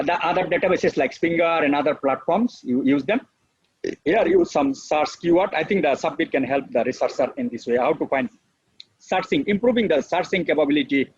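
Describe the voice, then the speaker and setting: Bangladeshi professor, husky, lo-fi call audio. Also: male voice, a little high, no echo. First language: Bengali. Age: middle-aged. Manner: earnest, repetitive, with frequent fillers. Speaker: professor giving a webinar talk. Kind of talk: unscripted lecture. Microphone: video call. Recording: dull, lo-fi online call audio.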